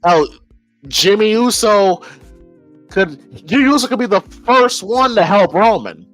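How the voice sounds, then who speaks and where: A man's voice: high voice, animated, a little slow, a bit bright, faint music behind, poor audio. An American man giving casual, emphatic opinion commentary as a podcast host, informal and often repeating phrases as he thinks aloud.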